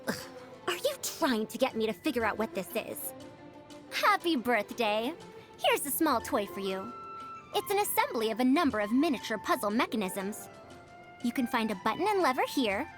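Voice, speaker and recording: A woman voicing a knowledgeable, slightly pompous female inventor in a video game, with a kindly elder's mock-stern, expressive manner. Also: fairly high pitch, normal speed, soft background music. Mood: displeased. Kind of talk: video game character voice lines.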